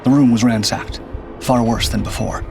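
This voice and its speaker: A man narrating a scripted first-person suspense story in a measured, grave storytelling manner. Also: medium pitch, steady tone, gravelly, darkish tone. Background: background music.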